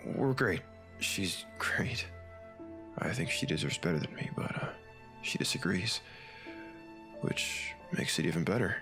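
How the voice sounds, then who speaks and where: A man's voice: deep voice, animated, a little slow, pauses often, over music. A man playing a weary, unsettled married newspaperman and father in a comic dub, speaking hesitantly. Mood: troubled, hesitant. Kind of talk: dramatic comic dub acting.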